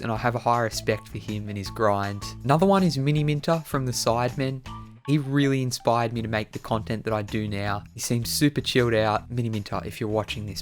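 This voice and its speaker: A man speaks in a relaxed, conversational way, like a video creator chatting directly to his audience. His voice sits at an average pitch for a man, and music plays behind him. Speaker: YouTube creator answering viewer questions. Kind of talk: casual chat to viewers.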